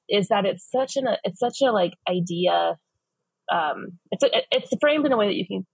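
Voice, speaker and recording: A woman in her forties from Phoenix answers interview questions earnestly, with a husky, gravelly voice in a lowish register. She talks in long, winding sentences full of fillers and restarts. She is heard through earbuds on a video call, in a dry sound. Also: dry recording, low-quality recording. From West Phoenix, United States. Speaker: ministry leader, guest. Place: home office, indoors.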